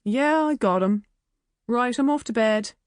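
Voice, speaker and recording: A woman's voice: on the high side, animated, a little slow, no room sound, clean studio sound, voice pushed up. A woman voicing a blunt, scornful, dramatic Irish woman in her late twenties in an audiobook novel. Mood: blunt, offhand. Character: scornful, world-weary woman of twenty-nine, private investigator.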